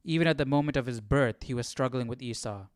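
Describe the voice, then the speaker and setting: A man's voice: mid-range voice, fast talker, clear, resonant tone, no room sound, studio quality. A man hosting a Bible study livestream in casual chat, questioning and thinking aloud informally. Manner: informal, questioning, muttering to himself.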